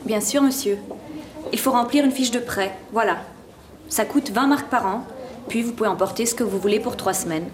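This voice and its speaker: Actress as slight librarian, polite and brisk, crowd chatter behind. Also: female voice, on the high side, lightly acted.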